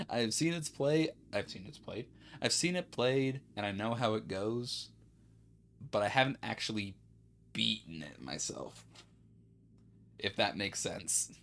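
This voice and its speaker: A young man chats his way through a game stream. He rambles and corrects himself, and his pitch moves a lot. He talks into a close mic. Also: lively intonation, slow, vocal fry, long pauses, a bit bright. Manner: rambling and self-correcting, with light laughs. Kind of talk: casual let's-play commentary.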